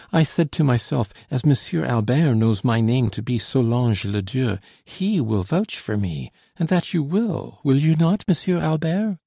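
A frightened but clever young woman pleads with soldiers in a raised, trembling voice, put on by a man reading a story. Her words come anxious and quick, polite to a fault. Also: medium pitch, creaky, warm tone, breathy edge, no room sound, studio quality, pitched above the performer's own voice.

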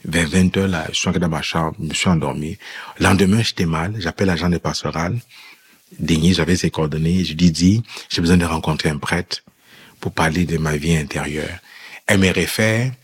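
A man's voice: sits low, fairly even intonation, a little fast, husky. A man telling a personal story in an interview, acting out bits of dialogue as he recounts it. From immigrant who lived in France before Quebec. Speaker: guest telling his faith story.

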